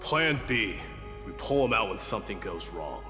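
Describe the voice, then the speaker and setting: A man playing a weary, commanding warrior lord in a game dub, measured, formal and gallant. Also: on the low side, very animated, creaky. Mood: firm, weary. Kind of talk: English game dub voice acting. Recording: long reverb, background music.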